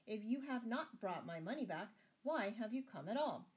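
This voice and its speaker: A woman voicing a greedy, gloating medieval abbot who is quick to rage, in a storybook style. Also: sits high, lively intonation, pitched above the performer's own voice. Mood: exclaiming, relieved. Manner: chuckling, gloating, blustering. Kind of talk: storybook character voice.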